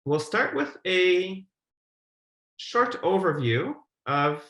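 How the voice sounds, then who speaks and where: Male presenter, thirties, measured and formal explainer, mid-range voice, reverberant video-call sound. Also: medium pitch, fairly bright tone. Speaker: guideline methodologist, webinar presenter. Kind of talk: prepared webinar presentation. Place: indoor room on a video call. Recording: roomy, echoing webcam audio.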